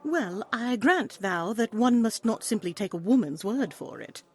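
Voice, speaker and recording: A woman's voice: a little high, lively intonation, a little slow, darkish tone. A woman voicing a bold, wily tavern seductress in an audio play, coaxing in formal archaic English. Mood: enticing.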